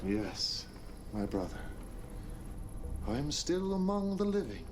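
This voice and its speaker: A menacing, charismatic cult leader commands with theatrical, deliberate oratory. His voice sits fairly high for a man and sounds a little distant under loud music, in the manner of a dramatic game cutscene. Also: sing-song. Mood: coolly mocking.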